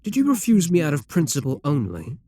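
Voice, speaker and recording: A man acting as an imperious, expressive demon king. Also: fairly high pitch, fairly quick, slightly rough. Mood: wistful, probing. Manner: imperious, courtly, theatrical. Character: proud, seductive demon king wooing a captive hero. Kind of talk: ASMR roleplay acting.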